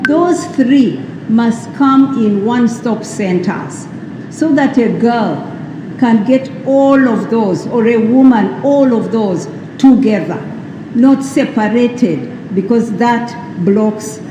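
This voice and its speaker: Woman speaker at a public ceremony, emphatic and rhetorical, noisy echoing PA. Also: a little high. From Uganda. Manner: slow, emphatic, rhetorical questions. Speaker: speaker at a World AIDS Day event. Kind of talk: formal public speech. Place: large hall at a public ceremony.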